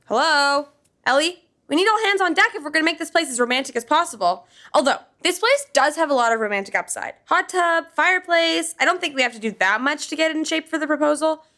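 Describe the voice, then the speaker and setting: Here is an excitable, bossy young woman in an audio sitcom. Her voice is high and bright, and it leaps around with big swings of pitch. She sounds sure of herself and keen to take charge. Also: fast talker, full character voice. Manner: bossy, excitable, self-assured. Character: Laura, bossy, eager young woman planner. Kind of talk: podcast sitcom acting.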